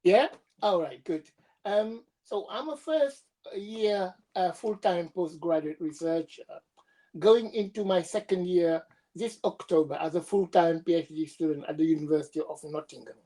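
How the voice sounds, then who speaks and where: Low, mellow-voiced woman with a cold. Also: low-quality recording. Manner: steady, measured reading with small hesitations. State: has a cold. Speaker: presenter, doctoral researcher. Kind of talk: reading a prepared academic presentation. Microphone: video call.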